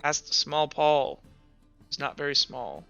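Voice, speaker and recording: A man narrating as game master, improvising informally in halting descriptions full of filler 'like'. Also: fairly high pitch, big pitch swings, creaky, sharp tone, clear, resonant tone, lo-fi sound. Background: background music.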